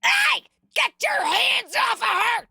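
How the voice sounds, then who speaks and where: A woman playing a frantic, overprotective small-town oddball in a Western audio drama, with a shrill, screechy voice. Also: big pitch swings, slow pace, creaky, sharp tone, no echo, raised voice, clean studio sound, exaggerated voice. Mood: outraged.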